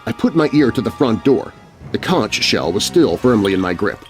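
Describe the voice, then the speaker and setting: A man narrating a scary children's story in an expressive, suspenseful, scripted style. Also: on the low side, normal speed, soft background music. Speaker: narrator, voice artist.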